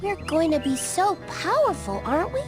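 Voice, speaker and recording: A woman voicing a dreamy, eerily cheerful camp girl in a cartoon video game, with a wistful, drawn-out manner. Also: very high voice, big pitch swings. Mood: dreamy, ominous. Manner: wistful, drawn-out, seeking agreement. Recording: loud game music behind.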